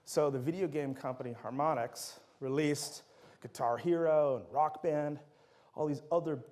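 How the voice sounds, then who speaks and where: Man lecturing, native dutch speaker, higher voice, lively storyteller, middle-aged. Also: normal speed. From Netherlands. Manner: enthusiastic, anecdotal storytelling. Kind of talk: university lecture.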